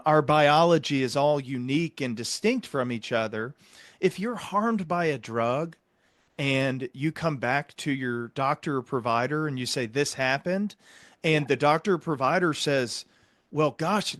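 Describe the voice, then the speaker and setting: A male podcast host with a slightly high voice and a close, dry sound. He speaks earnestly in conversation, setting out his points with measured emphasis. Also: no room sound.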